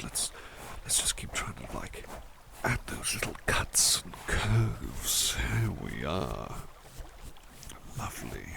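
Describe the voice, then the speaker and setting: A man playing a devoted, tender butler in an ASMR roleplay, murmuring softly and a little hesitantly to the listener. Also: deep voice, gravelly, background noise, full character voice. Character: devoted, tender male butler who loves painting.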